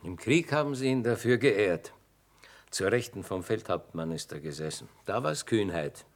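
A shabby army chaplain in a radio drama, preachy and protective. His solemn delivery swings widely in pitch, full of theatrical dignity. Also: expressive, normal speed. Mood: shaken. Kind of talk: German radio play acting.

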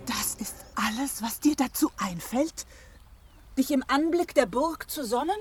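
An actress playing a jealous, sharp-tongued goddess wife who scolds reproachfully in a German fantasy radio drama. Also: female voice, high voice, lively intonation, unhurried, slightly rough, soft background music, exaggerated voice. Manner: reproachful, biting.

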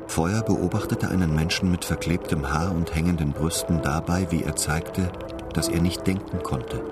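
A man's voice: low-pitched, normal speed. A man narrating a scripted radio drama in literary prose. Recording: voice over loud music.

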